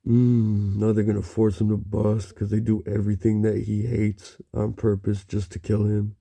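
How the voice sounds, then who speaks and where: Gravelly, dark-voiced man murmuring. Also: completely flat delivery. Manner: halting, murmured, with frequent low hums. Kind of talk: rambling monologue to camera.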